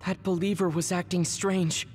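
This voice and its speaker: Woman playing a low-pitched, composed young comrade. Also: little pitch movement, normal speed, darkish tone, natural voice. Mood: composed, serious. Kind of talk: anime game voice acting. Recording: music behind the voice.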